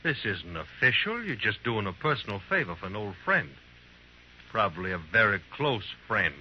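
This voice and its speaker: A menacing American gunfighter in an old radio western, low-voiced with big swings in pitch. He is insolent and needling, coolly taunting. The old recording sounds muffled. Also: sing-song, moderate pace, slight background noise. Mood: taunting threat. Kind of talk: 1950s radio western acting.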